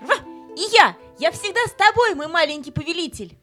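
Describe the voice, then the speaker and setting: A woman playing a devoted, excitable male pet dog in a children's audio play, with barky, fervent exclamations. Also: very high voice, a little fast, soft background music, full character voice.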